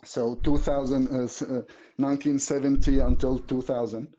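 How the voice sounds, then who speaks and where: Halting man, mellow tone. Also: mid-range voice, flat delivery, highs cut off. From Syria. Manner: halting, searching for words. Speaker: guest viewer. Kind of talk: casual political discussion.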